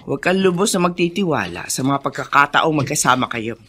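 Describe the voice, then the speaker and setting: A woman's voice: deep voice, lively intonation, fairly quick, fairly bright tone, a little hiss or hum. A woman playing an older, wary and protective hacienda servant in a Filipino radio drama, speaking emphatically. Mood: wary, warning. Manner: emphatic, cautioning.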